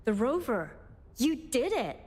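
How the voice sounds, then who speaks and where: A woman's voice: a little high, very animated, unhurried, a little mellow, husky, heavily acted. An actress playing an earnest, commanding woman scientist leading a research team in a video game, speaking articulately. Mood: relieved, triumphant. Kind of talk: scripted video game voice acting.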